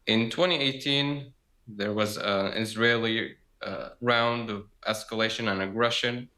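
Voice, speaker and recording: A man in his twenties from Gaza, a guest on a video-call interview, speaking slowly with many pauses and fillers. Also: fairly low pitch, steady tone, bright, forceful tone. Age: 20s. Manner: slow, hesitant, with frequent fillers. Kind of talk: conversational interview. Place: internet cafe.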